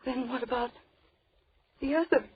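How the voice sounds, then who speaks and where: Husky, brash young captive. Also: female voice, high voice, normal speed. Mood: alarmed. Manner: demanding, slangy. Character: brash, slangy young woman held captive, frightened but defiant. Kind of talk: old-time radio drama acting. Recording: muffled vintage radio recording.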